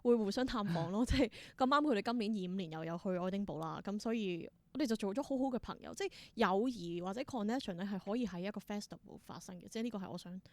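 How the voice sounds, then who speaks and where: A woman's voice: medium pitch, animated, fast talker, few pauses, no echo. A woman with a Hong Kong Cantonese accent telling stories casually as an interview guest, thinking aloud in short, reflective bursts. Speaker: interview guest, independent theatre performer.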